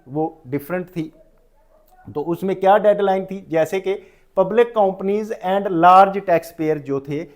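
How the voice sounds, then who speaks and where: A man's voice: sits high, a little mellow. A man with a Pakistani accent giving an informal explanatory lecture, mixing in English terms and restating his points in an instructive way. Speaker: tax professional giving a lecture.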